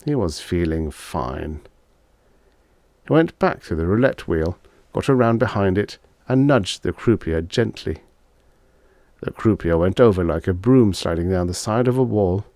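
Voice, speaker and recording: A man reading a short story aloud as an audiobook narrator, with expressive, scripted delivery. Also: deep voice, a little slow, no echo.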